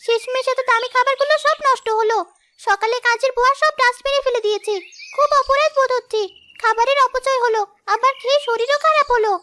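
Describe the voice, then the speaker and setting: Very high, bright young girl voiced by a woman. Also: steady tone, fast talker, a little hiss or hum. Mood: regretful. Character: earnest young schoolgirl in a moral cartoon story. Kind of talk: scripted cartoon dialogue. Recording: music behind the voice.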